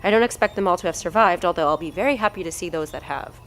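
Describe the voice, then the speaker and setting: An actress playing an arrogant, impatient research doctor, haughty and cutting in an audio drama. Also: female voice, lowish voice, very fast, a bit bright. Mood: cool, dismissive. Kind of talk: scripted audio drama dialogue.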